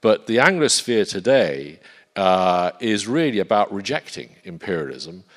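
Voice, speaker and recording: A Polish man with an English accent, giving a halting conference talk as a panelist, often repeating words as he searches for phrasing. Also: on the low side, sing-song. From Poland. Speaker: forum panelist. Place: conference hall. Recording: reverberant hall.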